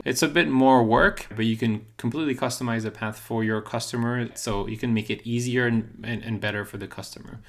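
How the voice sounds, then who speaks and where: A man walks through a software setup as a tutorial presenter. He talks conversationally and without a script, laying out each step in an explanatory way. His voice sits at an average male pitch. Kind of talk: unscripted software walkthrough.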